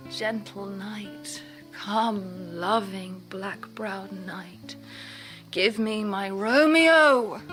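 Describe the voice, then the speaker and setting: An actress playing a passionate young bride in classical radio drama, with a theatrical, impassioned verse delivery. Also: female voice, fairly high pitch. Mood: yearning, tender.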